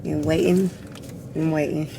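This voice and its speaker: Hoarse chatty woman, low voice. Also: flat delivery, a little slow, background noise. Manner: chatty and teasing. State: hoarse from a lingering sore voice. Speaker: vlogger. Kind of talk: casual vlog chat to camera.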